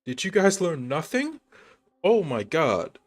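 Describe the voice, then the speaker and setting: A streamer commenting over a game in casual, sarcastic, exasperated asides. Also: male voice, fairly high pitch, wildly swooping pitch, takes it slowly.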